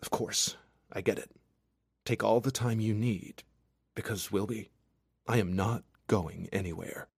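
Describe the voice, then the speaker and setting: Guy reading as sincere boyfriend in an audiobook, soft and halting, tender, dry sound. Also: on the low side, creaky, slightly rough, no echo, full character voice. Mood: tender, reassuring. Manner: gentle, hushed, halting. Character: earnest returning lover, young man, tender and steady. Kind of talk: audiobook character dialogue.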